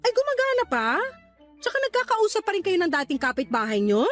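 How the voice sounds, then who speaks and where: Very high, swinging voice of a showy Filipino mother who loves to one-up people. Her radio drama delivery is exaggerated and animated, with faint music underneath. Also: fast talker, full character voice. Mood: boastful, showy. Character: boastful, envious Filipino mother, one-upping her neighbor. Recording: light background music under dialogue.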